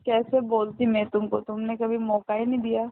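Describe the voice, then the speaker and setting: A woman's voice: on the high side, level tone, a little fast, no room sound. A young woman in an intimate phone conversation, speaking in a subdued way with short replies. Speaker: ex-girlfriend on a call. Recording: thin narrow-band phone line.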